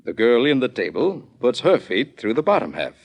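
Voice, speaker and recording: An actor playing an older, matter-of-fact craftsman in a 1940s radio drama, speaking in a measured, formal, explanatory way. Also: medium pitch, very animated, highs cut off.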